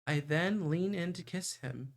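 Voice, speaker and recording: Creaky, higher-pitched man reading aloud on stream. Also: measured pace. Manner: playful, stumbles while reading. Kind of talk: livestream read-aloud narration with chatty asides.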